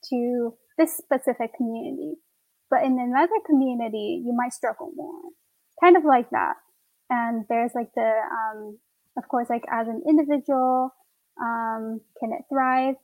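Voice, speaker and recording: A woman's voice: on the high side, a little mellow, no echo, rough recording. A woman from Taiwan answering interview questions hesitantly, with many fillers. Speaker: guest, environmental science student.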